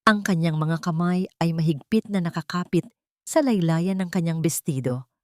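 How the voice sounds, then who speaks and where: Low-pitched woman narrating a dramatic story. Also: moderate pace, dry recording, studio quality.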